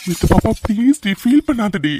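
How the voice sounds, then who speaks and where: A man's voice: sits high, sing-song, fairly quick, dark tone, a little hiss or hum. A young man voicing a doting, protective husband who coaxes and pleads affectionately. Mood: consoling. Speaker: voice actor. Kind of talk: audio drama acting.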